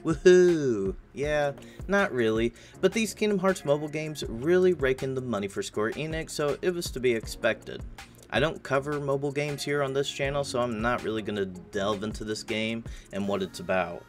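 A man with an American accent and a slightly raspy voice, narrating a gaming news recap as a channel host in a casual, informal way. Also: mid-range voice, moderate pace. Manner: casual, informal address to viewers. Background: music behind the voice.

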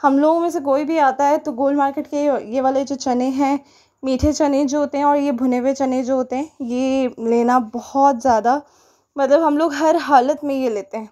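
Young pakistani woman rambling over her vlog clips, high voice, lots of "uh"s. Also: steady tone, moderate pace, mellow. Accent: Pakistani Urdu. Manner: chatty, rambling, with many fillers. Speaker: vlogger. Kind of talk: voice-over narration of a shopping vlog.

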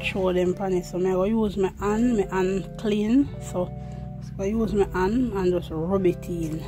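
A woman's voice: mid-range voice, a little slow, over music. A Jamaican woman casually chatting to her viewers in patois while cooking outdoors, filming on a handheld phone. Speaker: cooking vlogger. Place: outdoor yard kitchen. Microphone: phone held in one hand while filming.

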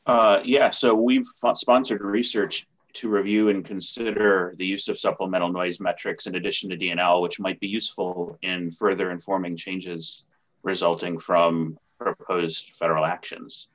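A man with a low voice answers formally as an expert panelist. The audio is a little dull, as from a computer microphone on a video call. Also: level tone, moderate pace, fairly bright tone. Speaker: government agency noise expert on a panel.

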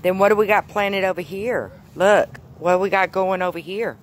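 A woman's voice: medium pitch, moderate pace, slight background noise. A woman in a backyard garden filming on her phone and patiently coaxing a small child with simple questions. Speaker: mother giving a garden tour. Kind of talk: casual home video chat with a toddler. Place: backyard vegetable garden outdoors. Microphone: phone camera held while filming.

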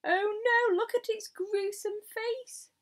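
A woman voicing a blustering, excitable male chief of police in a storybook reading, shouting orders in an alarmed manner. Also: fairly high pitch, takes it slowly, heavily acted.

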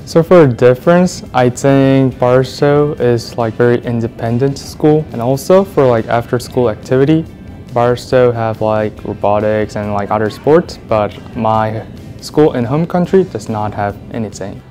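A man's voice: mid-range voice, a little slow, mellow, soft background music. A teenage boy from South Korea with a Korean accent, answering an interview in simple English. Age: teens. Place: indoors by large windows. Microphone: lapel.

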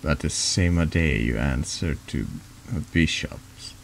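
A deep-voiced man reading horror game dialogue aloud in an ASMR-like style, halting and stumbling over words now and then. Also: vocal fry, slight background noise. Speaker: streamer reading a text adventure game aloud. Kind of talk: slow narrated read-aloud of horror story dialogue, ASMR-like.

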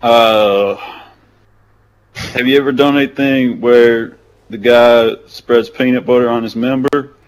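A man making a prank phone call in a deadpan, hesitant, drawn-out way. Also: medium pitch. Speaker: prank caller.

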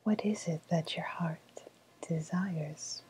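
A woman playing a sly woodland witch in an ASMR roleplay, speaking in a soft, breathy whisper with a deliberate, coaxing manner. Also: sits low, slight background noise. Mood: coaxing, knowing. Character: sly woodland witch, dealing in dark love magic.

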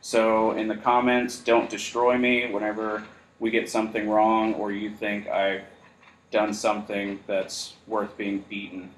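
A man's voice: lowish voice, level tone, measured pace. Joking man hosting a podcast, roomy sound. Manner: joking, self-deprecating. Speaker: podcast host. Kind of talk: casual chat. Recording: roomy echo.

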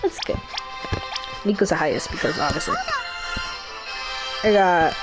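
A young woman gives casual playthrough commentary in a lively, swooping voice. She is slangy and offhand, and game music plays clearly behind her. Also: on the low side, measured pace, poor audio. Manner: slangy, swearing, offhand reactions. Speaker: game streamer.

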